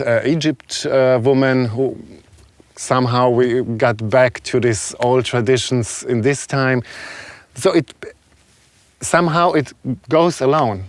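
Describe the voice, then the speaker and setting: A man with a continental European accent, a non-native English speaker, talking reflectively and haltingly as an interview guest. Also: mid-range voice, clear, resonant tone, dry recording. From Serbia, moved to Germany as a child. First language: not English. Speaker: guest, shaman and spiritual healer. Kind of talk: conversational interview talk.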